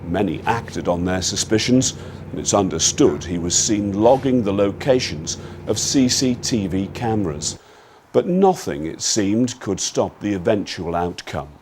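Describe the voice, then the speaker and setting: A man's voice: fairly low pitch, expressive, unhurried, few pauses, a little mellow, slight background noise. A man narrating a dramatised film from a script, in the style of a news report.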